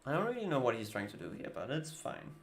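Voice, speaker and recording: Gravelly Danish-accented man narrating card-game moves. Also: mid-range voice, very animated, fast talker. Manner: thinking aloud while playing, casual, muttering asides. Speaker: streamer. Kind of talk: live gameplay commentary.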